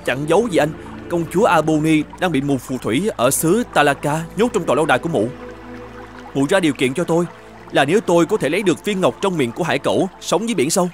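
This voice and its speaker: A man's voice: fairly high pitch, expressive, quick pace, faint music behind, lightly acted. A man voicing a brave, polite young knight in a children's cartoon. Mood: curious, determined. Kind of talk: children's cartoon fairy-tale dubbing.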